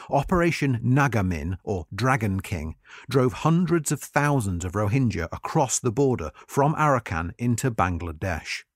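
Male narrator, mid-pitched voice, expressive melody, scripted article reading, articulate, formal, very dry sound. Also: dry recording.

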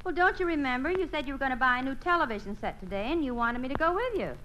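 A woman's voice: high voice, lively intonation, rapid-fire, a bit bright, dull audio. An actress playing a breezy, sensible, unimpressed woman friend in a 1950s radio comedy. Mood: brisk. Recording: faintly noisy old radio recording.

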